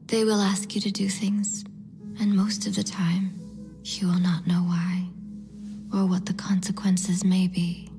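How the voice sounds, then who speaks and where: A mysterious female guide in a video game who never raises her voice above a breathy whisper, played by a woman. She speaks slowly and flatly, in cryptic pronouncements, over clear background music. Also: mid-range voice, lightly acted. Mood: hushed. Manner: slow, measured, cryptic pronouncements. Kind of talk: video game cutscene voice acting.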